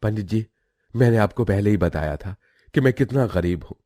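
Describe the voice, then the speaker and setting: A man plays a poor but proud elder brother in a family drama. He is earnest, firm and protective, with a deep, dry-recorded voice. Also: animated, moderate pace, mellow, no room sound. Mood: indignant.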